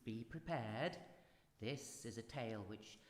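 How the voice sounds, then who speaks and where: A man playing a suave, articulate, theatrical character in a live radio drama, speaking deliberately. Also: medium pitch, lightly acted. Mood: ominous showman. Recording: echoey hall, live stage.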